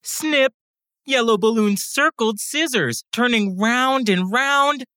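A woman reading a children's bedtime story as a scripted, animated narrator, acting out the sound words. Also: a little high, slow, clear, resonant tone, dry recording, studio quality.

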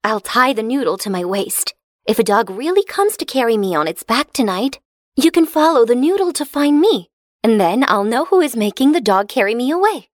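High-voiced, resolute princess, acted by a woman. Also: very animated, a little fast, no room sound, clean studio sound. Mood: scheming. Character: clever, determined young fairy-tale princess.

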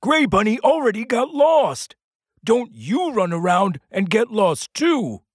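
A man voicing a fussy old rabbit with a husky voice in a children's audio story. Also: high voice, big pitch swings, slow pace, dry recording, studio quality, exaggerated voice. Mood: warning.

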